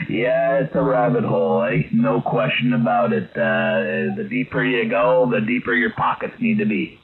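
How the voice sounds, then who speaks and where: Halting man over narrow-band ham radio. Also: mid-range voice, sing-song. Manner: halting, hesitant delivery with many drawn-out pauses. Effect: received over shortwave radio. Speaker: amateur radio operator. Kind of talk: casual radio chat. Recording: narrow-band radio signal, faint static.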